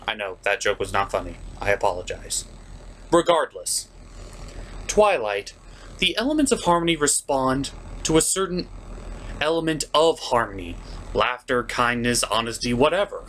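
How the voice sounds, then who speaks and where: A man's voice: medium pitch, animated, moderate pace, fairly bright tone, a little hiss or hum, rough recording. A man hosting a comedic scripted cartoon review in a theatrical, sarcastic and exasperated manner. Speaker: host of an online puppet review show.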